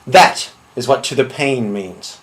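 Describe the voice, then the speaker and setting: Man acting a cold, menacing, deliberate swordsman. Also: medium pitch, natural voice. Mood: cold menace. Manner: deliberate, contemptuous, theatrical. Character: cold, menacing swordsman threatening a slow revenge. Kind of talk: dramatic monologue.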